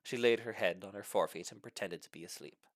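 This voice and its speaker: Animated man reading a comic story. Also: fairly low pitch, fast talker, no echo. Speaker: story reader. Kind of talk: animated scripted narration of a comic short story.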